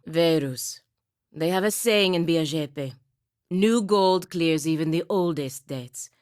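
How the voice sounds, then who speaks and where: A woman's voice: deep voice, dry recording, studio quality. A woman playing a proud, bitter, dutiful warrior knight in a video game, speaking with stern, formal intensity. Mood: resigned, bitter. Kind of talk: video game character acting.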